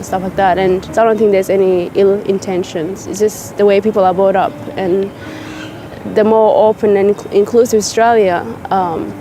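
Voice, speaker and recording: A woman's voice: mid-range voice, noisy background. A young woman, speaking thoughtfully in a documentary interview outdoors in a park. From South Sudan. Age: young adult.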